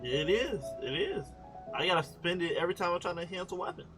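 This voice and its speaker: A man's voice: high-pitched, expressive, fast talker, fairly bright tone, soft background music. An American man giving casual, colloquial game commentary with incredulous banter. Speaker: gaming reaction YouTuber.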